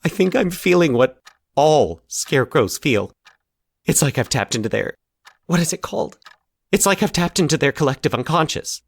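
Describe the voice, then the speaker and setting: A man's voice: a little high, sing-song, normal speed, vocal fry, pitched above the performer's own voice, heavily acted. A man voicing an agitated, frightened boy patient in a dramatic story reading. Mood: insistent, agitated.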